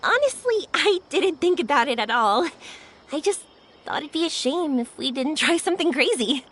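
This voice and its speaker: A woman voicing a cheerful young woman in a studio game who gushes and laughs easily. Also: high-pitched. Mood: grateful. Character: cheerful young fireworks-loving woman, warm and excitable.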